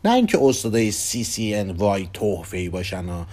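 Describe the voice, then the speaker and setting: A man's voice: fairly low pitch, very animated, a little mellow. A man voicing a restless middle-aged male professor who craves romance, whiny and pleading.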